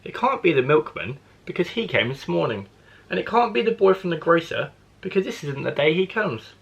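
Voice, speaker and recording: A man's voice: a little high, sing-song, brisk, creaky, pitched above the performer's own voice. A man voicing a kindly, polite young mother from a children's storybook, musing aloud. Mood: puzzled.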